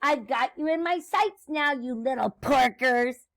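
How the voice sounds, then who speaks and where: A woman performs a short-tempered storybook witch with a high voice. She is bossy and screechy, throwing out demands and insults in a closely recorded, dry sound. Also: a little slow, dry recording. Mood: menacing, demanding.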